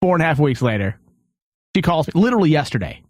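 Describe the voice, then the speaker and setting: Higher-pitched man hosting a comedy show. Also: fast, dry recording. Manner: animated storytelling, acting out quoted exchanges. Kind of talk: casual, uncensored comedy banter.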